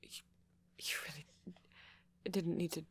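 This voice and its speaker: Man playing a breathy, bashful vampire boyfriend. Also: on the high side, steady tone, unhurried. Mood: shy, flustered. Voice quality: breathy, soft. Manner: hesitant, stammering, bashful. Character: shy, flustered, gentle vampire boyfriend on a date. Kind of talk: ASMR romance roleplay.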